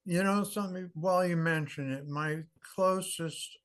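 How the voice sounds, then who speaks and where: A man in his sixties, a guest in an interview, telling stories in a measured, reflective way. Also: high voice, fairly even intonation. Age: 60s. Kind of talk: casual interview conversation.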